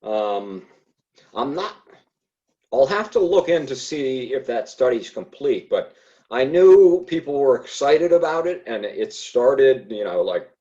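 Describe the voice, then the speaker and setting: A man's voice: mid-range voice, big pitch swings, unhurried, warm tone, muffled recording. A man who stutters, speaking in a hesitant way with many fillers and restarts in a casual podcast conversation. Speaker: podcast guest.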